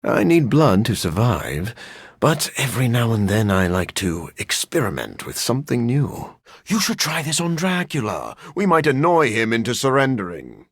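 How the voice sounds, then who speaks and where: An actor playing a sophisticated, bookish vampire scientist in a video game, speaking in an articulate, formal way with dry wit and a raspy edge. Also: male voice, mid-range voice, huge pitch swings, moderate pace, no room sound. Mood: wry. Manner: articulate, formal, dryly witty. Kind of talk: video game character voice lines.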